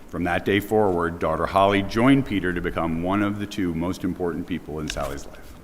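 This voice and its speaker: A man's voice: low-pitched, a little fast. A man reads a prepared eulogy at a memorial in a large, echoey church. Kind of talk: prepared eulogy read aloud. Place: large church, speaking to a gathering. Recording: echoey church hall, faint background noise.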